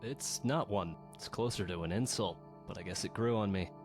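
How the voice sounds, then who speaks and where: Man acting a low-voiced wounded rebel fighter. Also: normal speed, over music, close to an everyday voice. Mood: weary, wry. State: injured, in pain. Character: wounded male rebel fighter, grateful, wry, loyal. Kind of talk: video game character dialogue.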